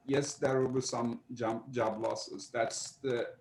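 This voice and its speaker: A man giving an economist's view in an online seminar, explaining carefully with many pauses. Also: medium pitch, level tone, a little mellow, a little hiss or hum. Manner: explanatory, hesitant, many uhs. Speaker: economics panelist. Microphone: video call. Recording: echoey room.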